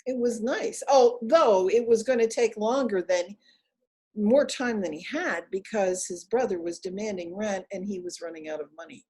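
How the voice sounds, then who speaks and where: A woman telling a folktale aloud in an expressive, deliberate manner with emphatic pauses. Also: mid-range voice, slightly rough. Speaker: storyteller. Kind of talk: live oral folktale storytelling, dramatic.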